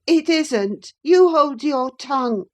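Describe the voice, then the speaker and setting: A woman's voice: on the high side, slow, a little mellow, pitched above the performer's own voice. Woman doing a whiny, sickly teenage boy. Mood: taunting. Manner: whining, self-pitying. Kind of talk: audiobook character reading.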